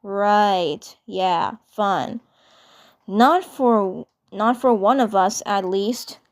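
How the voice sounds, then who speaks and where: A tough young cartoon superhero girl, played by a woman, grumpy and suspicious. She speaks slowly, with a sarcastic grumble. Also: medium pitch, pitched below the performer's own voice. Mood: grudging sarcasm.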